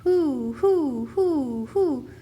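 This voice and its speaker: Woman doing loud, high great horned owl hoots. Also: animated, lo-fi sound, heavily acted. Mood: calling out. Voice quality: hooting, drawn-out calls. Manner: loud, carrying, wordless calling. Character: father calling like a great horned owl, and owl answering.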